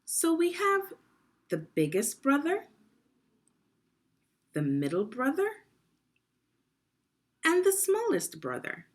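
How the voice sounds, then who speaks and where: A woman teacher reading a story aloud to children in an expressive, deliberate, instructional way, pausing with guiding questions. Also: high-pitched.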